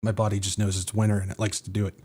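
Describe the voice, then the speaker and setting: A man's voice: on the low side, little pitch movement, racing, warm tone, slightly rough. A man with an American accent hosting a podcast, sounding a little nasal from drainage, talking casually with lots of fillers and self-corrections. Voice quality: slightly nasal. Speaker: podcast host. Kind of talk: casual livestream talk.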